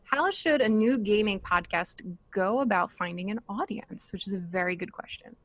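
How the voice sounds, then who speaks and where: A woman hosting a casual panel chat, talking in an animated, jokey, chatty way. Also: mid-range voice, moderate pace, dry recording. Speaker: panel host and podcaster.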